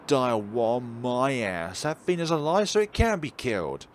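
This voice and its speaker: Indignant small young woman, hot-tempered and slangy, read by a man, lively pitch, noisy recording. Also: measured pace.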